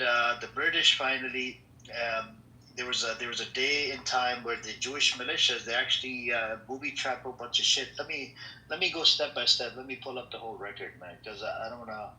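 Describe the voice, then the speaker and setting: A man talks through history in loose casual chat, stopping and restarting often. His voice is very bright and forceful. The room around him is echoey, with a faint hiss behind. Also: mid-range voice. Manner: rambling, many fillers and restarts. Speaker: podcast host.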